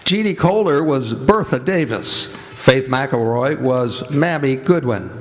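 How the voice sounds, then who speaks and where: A man announcing a live radio theatre show in a formal, deliberate old-time broadcast style. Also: mid-range voice, expressive. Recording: live stage with music and audience. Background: music and audience noise.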